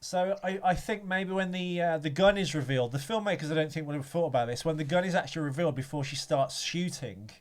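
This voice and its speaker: A man's voice: a little high, fast, few pauses. A man co-hosting a podcast, chatting casually about a film, rambling with restarts and casual swearing. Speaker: podcast co-host.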